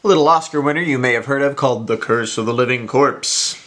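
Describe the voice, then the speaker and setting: A man off camera reading the part of a calm, seasoned actor in a plain, matter-of-fact way. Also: mid-range voice, fast talker, natural voice. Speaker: off-camera scene reader. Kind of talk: reading lines in a scene.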